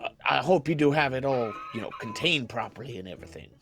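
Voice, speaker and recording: A man's voice: medium pitch, lively intonation, fast talker, gravelly, a bit bright. A man playing a bookish, fussy wasteland hermit in an improvised game roleplay, hesitant and folksy, rambling and repeating himself. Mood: awkward, hesitant.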